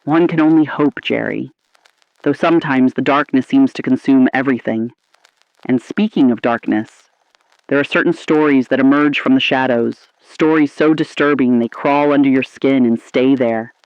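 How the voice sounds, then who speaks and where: A man playing an ominous, appalled 1950s radio anchor, delivering scripted lines in a grave, deliberate, theatrical style. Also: medium pitch, a little mellow, dry recording, dull audio, lightly acted. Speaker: radio host.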